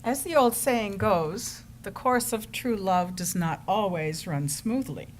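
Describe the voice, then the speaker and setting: A woman's voice: medium pitch, takes it slowly. A woman presenting staged readings with wry scripted narration to an audience, with some background noise.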